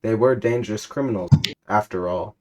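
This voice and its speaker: A man giving a comic dramatic reading of a story, in a deadpan manner, sometimes stumbling and rereading lines. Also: fairly low pitch, a little fast. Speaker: reader.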